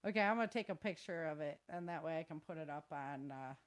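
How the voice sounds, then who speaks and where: A woman's voice: low-pitched, fast, crowd noise. A woman chatting casually as host of a live show, informal and slangy, with exclamations.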